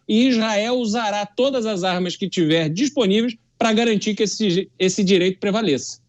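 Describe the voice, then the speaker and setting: Brazilian man giving emphatic political commentary. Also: high voice. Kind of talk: opinion commentary.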